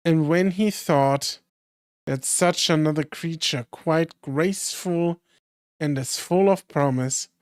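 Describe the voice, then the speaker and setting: Hoarse streamer narrating a classic story; slow, dry, close mic. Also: medium pitch, darkish tone, no echo. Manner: slow careful reading with small slips. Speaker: streamer and reader. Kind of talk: reading a classic novel aloud on stream.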